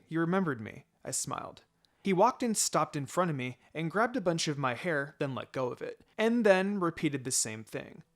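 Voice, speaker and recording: A man narrating a story in the first person in an expressive, dramatised way. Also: a little high. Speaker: fan fiction reader. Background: background music.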